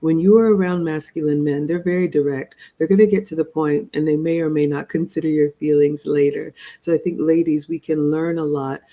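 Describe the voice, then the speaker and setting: A woman in her forties hosting a reaction webinar, talking in a measured, motherly lecturing way. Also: low-pitched, fairly even intonation, mellow. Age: 40s.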